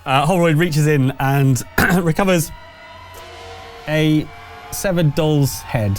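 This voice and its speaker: A man's voice: a little high, slow pace, soft background music. Man casually running a tabletop game. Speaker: game master. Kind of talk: improvised tabletop game narration and chat.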